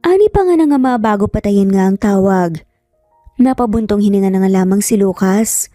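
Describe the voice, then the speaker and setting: A woman's voice: mid-range voice, animated, normal speed, mellow, no room sound, studio quality. A Filipino woman narrating a scripted romance story. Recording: music behind the voice. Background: background music.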